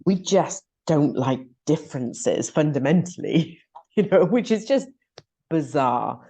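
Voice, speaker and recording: Very low-voiced Welsh woman. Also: slightly rough. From Wales. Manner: lively, chatty and frank, with quick asides. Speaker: podcast co-host. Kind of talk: casual podcast discussion.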